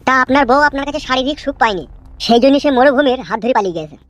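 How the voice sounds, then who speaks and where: A woman's voice: sits high, lively intonation, rapid-fire, no echo. A woman playing a self-important male village politician in a comic cartoon, lecturing and brushing complaints aside. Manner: pompous, lecturing, dismissive.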